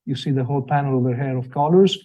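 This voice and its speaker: A man's voice: medium pitch, fast, dark tone, poor audio. A man from Italy with an Italian accent, giving a live product presentation at a showroom event with many fillers and restarts. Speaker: company sales representative.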